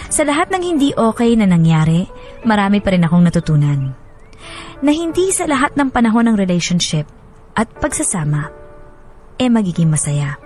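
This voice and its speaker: A woman radio host reading listener stories and messages in an expressive, sentimental way. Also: medium pitch. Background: music bed.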